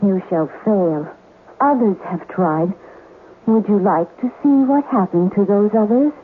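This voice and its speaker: An actress playing the ghost of a murdered wife in a radio drama, coquettish and menacing, with theatrical, taunting delivery. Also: female voice, mid-range voice, animated, a little slow, a little hiss or hum, lightly acted. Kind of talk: 1970s radio drama acting. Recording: muffled old radio broadcast, music underneath.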